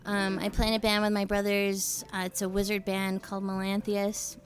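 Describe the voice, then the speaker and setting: A woman's voice: medium pitch, monotone, moderate pace. A woman musician talking casually as a guest on a studio show, chatty with many fillers and restarts. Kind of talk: casual interview chat.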